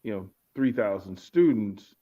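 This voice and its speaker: A man's voice: lowish voice, lively intonation, measured pace. A man from Virginia, a guest speaker talking in a casual interview and thinking aloud as he repeats his words. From Arlington, Virginia. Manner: reflective, repeats words while searching for phrasing. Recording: muffled lo-fi audio.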